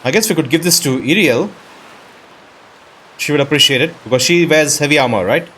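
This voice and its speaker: Lively, higher-pitched man casually commenting on a game. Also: fairly quick, a little hiss or hum, poor audio. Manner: thinks aloud in short questions. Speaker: gaming video host. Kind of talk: casual let's-play commentary. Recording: music behind the voice. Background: game music.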